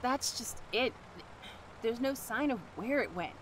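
A woman playing a brisk, no-nonsense animal expert in an audio drama. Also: a little high, expressive, background noise. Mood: puzzled.

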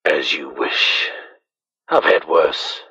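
An actor playing a cold, confident bounty hunter in a raspy voice, speaking in terse, threatening lines. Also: male voice, a bass voice, drawn-out words, pushed and bright, full character voice. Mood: cold menace. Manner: terse, threatening one-liners. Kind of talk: film and game villain acting. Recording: muffled soundboard clips over phone.

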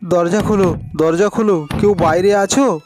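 A man's voice: high-pitched, steady tone, moderate pace, music in the background, lightly acted. A man voicing a kind-hearted, hard-up elder brother in a cartoon, speaking in an earnest, pleading, emotional way. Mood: desperate calling. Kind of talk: cartoon morality tale dialogue.

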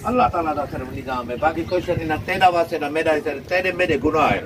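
A man's voice: on the high side, fast, noisy background. A man with a Saraiki accent, a local villager chatting casually at an open roadside workshop. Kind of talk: casual chat.

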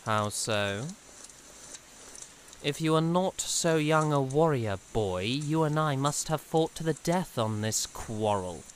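An actor playing a courtly, haughty young knight in a fan audio drama, speaking grand archaic lines with theatrical amusement. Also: male voice, fairly high pitch, lively intonation, slow, slight background noise. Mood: courtly, earnest.

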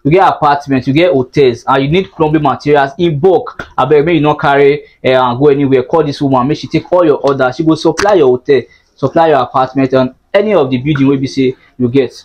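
A man in his thirties with a Nigerian accent, hosting a store walkthrough vlog, pitching products in an upbeat, salesy patter and repeating prices in an indoor showroom. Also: fast, dull audio. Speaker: market blogger.

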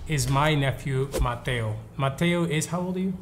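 A man's voice: medium pitch, normal speed, soft background music. A man in his thirties from the Netherlands, a Dutch native speaker, hosting a casual interview in a playful, teasing way. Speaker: host. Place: indoor room with wooden wall.

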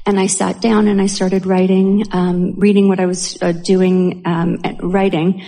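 A woman from a seminar audience telling a personal anecdote conversationally, halting and interrupting herself. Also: medium pitch, flat delivery, warm tone. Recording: reverberant hall sound.

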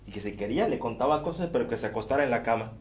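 A man performing a folktale on stage, speaking rhythmically and repeating phrases, often sinking to hushed tones. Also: mid-range voice, expressive, rapid-fire, slight background noise. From Colombia. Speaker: storyteller. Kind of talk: theatrical oral storytelling. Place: dark theater stage.

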